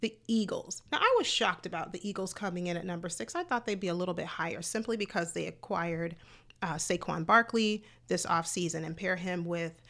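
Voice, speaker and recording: Slightly hoarse, low-voiced woman host. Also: a little fast, fairly bright tone. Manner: chatty, greets viewers in the chat by name. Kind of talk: live-stream sports talk.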